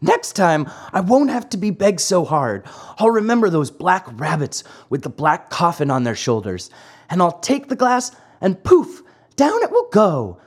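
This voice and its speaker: A narrator voicing a whiny, stubborn little wooden boy marionette in a high, squeaky, childlike storybook voice. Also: huge pitch swings, a little slow, slightly rough, heavily acted. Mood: eager. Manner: whining, childlike, pleading.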